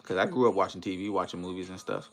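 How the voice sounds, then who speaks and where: Low-voiced American man chatting on a livestream. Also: fairly even intonation, quick pace, a bit bright, soft background music. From United States, Maryland and Washington DC area. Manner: conversational, uses slang and tag phrases like "you know". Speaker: livestream host chatting with viewers. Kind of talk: casual livestream chat.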